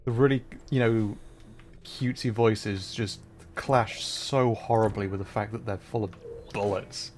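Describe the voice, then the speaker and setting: A man's voice: fairly low pitch, fairly even intonation, unhurried, a little hiss or hum. A man giving casual, playful livestream gameplay commentary, self-deprecating and thinking aloud in repeated little phrases. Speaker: livestreamer playing a puzzle game.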